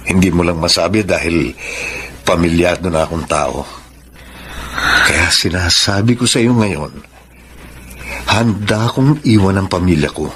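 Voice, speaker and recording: An actor playing an earnest unfaithful husband in a Filipino radio drama, speaking as if confessing. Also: male voice, low voice, measured pace, long pauses, background noise. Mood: ardent, pleading. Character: earnest married man confessing forbidden love, unfaithful husband.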